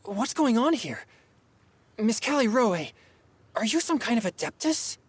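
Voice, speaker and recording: A woman's voice: on the high side, sing-song, a little slow, slight background noise. A woman playing a curious, straightforward young woman in a fantasy video game. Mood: puzzled. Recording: faint background music.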